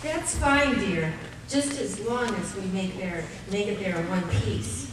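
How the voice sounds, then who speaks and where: A woman playing a doting, brisk 1950s housewife and mother in a live old-time radio play. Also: mid-range voice, close to an everyday voice. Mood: fond, cautious. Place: theater stage before live audience. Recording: echoey noisy live stage, distant mic.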